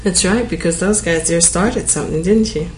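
A woman's voice: on the low side, moderate pace, echoey room, faint music behind. A woman interviewee speaking in a teasing way.

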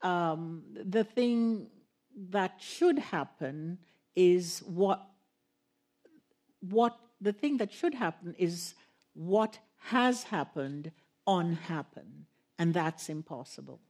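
A woman on a panel, answering an audience question in a halting, thoughtful way with many pauses and restarts. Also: on the low side, lively intonation, a little mellow. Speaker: guest author on panel. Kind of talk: slow reflective answer to audience.

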